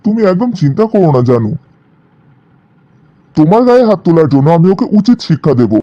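A man's voice: a little high, very animated, a little fast, warm tone, no echo, muffled recording. A man playing a doting, compliant young boyfriend in a cartoon drama, soothing and deferential. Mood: doting, placating. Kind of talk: animated cartoon drama dialogue.

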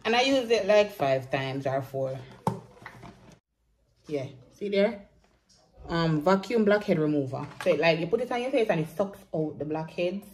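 A woman's voice: fairly low pitch, unhurried, pauses often, noisy background. Jamaican woman chatting to her phone. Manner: chatty, relaxed, slips into patois. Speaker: vlogger. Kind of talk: casual vlog chat to camera. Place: small home bathroom and bedroom, indoors. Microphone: phone camera at arm's length.

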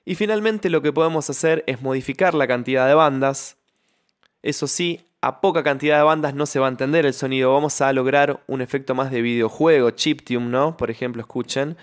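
A young man explaining music software in a tutorial, close on the mic in a home studio. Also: mid-range voice, fairly quick. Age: young adult. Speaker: music production tutorial host. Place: small home studio with foam panels. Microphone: close studio microphone on a stand, with headphones.

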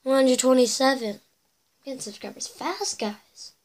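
A woman's voice: sits high, animated, normal speed, a little mellow. A teenage girl chatting to her webcam in her bedroom, rambling through viewer comments with whispered asides, her voice a bit cracky from losing it. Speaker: YouTuber reading viewer comments. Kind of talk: casual chat to camera. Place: bedroom indoors.

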